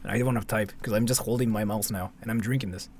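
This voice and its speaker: A man's voice: on the low side, steady tone, racing, a little mellow, a little hiss or hum. A man from Japan chatting casually on a livestream and reacting with sarcastic, repetitive jokes. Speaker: livestreamer reacting to a video and chat.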